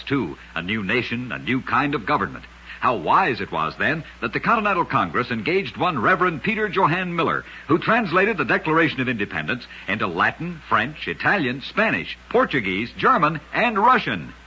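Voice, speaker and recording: A man hosting a radio show, narrating scripted curiosities in a measured, dramatic storyteller manner. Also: mid-range voice, pushed and bright, slight background noise. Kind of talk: scripted old-time radio narration of oddities. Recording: dull, lo-fi old radio recording.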